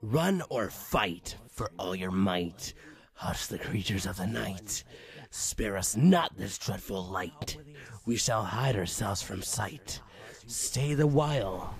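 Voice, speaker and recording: A menacing thing of the night, voiced by a man, chants doom in rhyme. The voice is low and very slow, ominous in the manner of a horror audio story.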